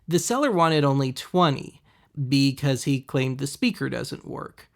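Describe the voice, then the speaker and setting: A man hosting a web series, giving casual, deadpan, wry voice-over commentary on thrift finds. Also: mid-range voice, a little slow, vocal fry. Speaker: host of a web video series.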